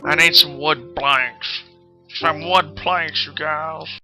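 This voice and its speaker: A man with a fairly high, strikingly bright and forceful voice streams a game and tells a story as he plays. He talks casually and wanders off track in an absent-minded way. Music plays clearly behind him. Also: steady tone, slow. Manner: rambling, absent-minded, often losing his thread. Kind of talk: casual live-stream storytelling while gaming. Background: background music.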